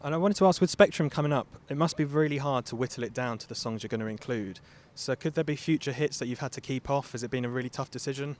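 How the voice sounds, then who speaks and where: A man's voice: medium pitch, fast, few pauses. A man working as a radio interviewer, putting questions to guests. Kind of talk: upbeat celebrity interview questions.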